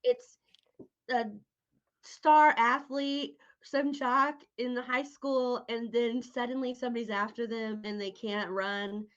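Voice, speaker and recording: A woman's voice: a little high, fairly even intonation, slow. Woman chatting casually, slightly dull lo-fi audio. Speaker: podcast interviewer. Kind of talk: casual chat about horror tropes.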